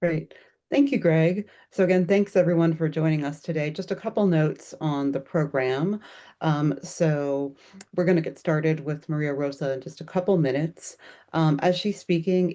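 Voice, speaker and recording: A woman with a mellow, warm tone moderates an online event over a video call. She speaks in a relaxed way, with frequent ums and pauses. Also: sits low. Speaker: moderator running the online program. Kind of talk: procedural announcements on a video call.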